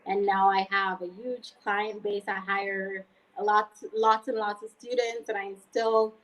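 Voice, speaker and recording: A woman's voice: medium pitch, little pitch movement, unhurried, fairly bright tone, low-quality recording. A woman in her twenties with a Jamaican accent, telling a personal story conversationally as a guest. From Montego Bay, Jamaica. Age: 20s. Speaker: guest, online freelancer.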